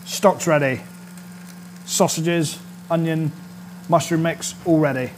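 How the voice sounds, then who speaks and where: Chatty chef, sizzling pan behind. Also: male voice, fairly high pitch, steady tone, slow, a little hiss or hum. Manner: chatty and upbeat, keeps checking in with "all right?". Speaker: chef and cooking show host. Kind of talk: casual cooking demonstration. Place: indoor studio kitchen set. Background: sizzling and frying from the pan.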